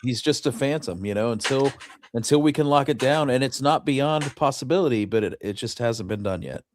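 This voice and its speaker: A man's voice: mid-range voice, a little fast, a little hiss or hum. A man talking history casually in a conversational show. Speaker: talk show co-host or guest.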